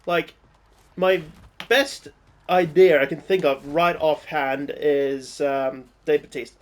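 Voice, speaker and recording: A man's voice: a little high, slow. A man with a British accent that slips into American sounds, chatting casually and chuckling often while a friend plays a game. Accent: British, with some American vowels. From Britain. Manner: relaxed, chuckles often, many "like" fillers. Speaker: co-host. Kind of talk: casual chat over gameplay.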